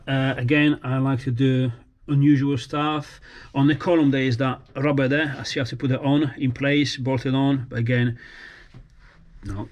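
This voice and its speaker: A man who grew up in Italy, speaking English as a second language, rambling casually with many fillers and restarts while showing his race car. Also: mid-range voice. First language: Italian.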